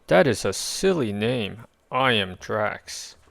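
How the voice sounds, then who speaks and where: A man voicing a gruff, blunt, literal-minded alien warrior in a deadpan storybook delivery. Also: lowish voice, takes it slowly, no room sound, exaggerated voice.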